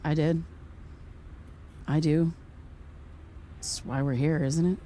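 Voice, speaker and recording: A woman's voice: deep voice, steady tone, warm tone, a little hiss or hum. A woman acting as an earnest, hesitant podcaster seeking answers in a naturalistic audio drama. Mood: defensive, insistent. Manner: halting, apologetic, polite. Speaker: lead actor playing a podcaster.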